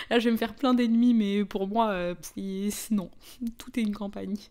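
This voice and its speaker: A woman's voice: mid-range voice, fairly even intonation, measured pace, mellow. A young woman with a Parisian French accent chats informally and slangily with viewers as host of a live stream. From France (Paris). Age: young adult. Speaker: livestream host. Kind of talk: casual live chat with viewers.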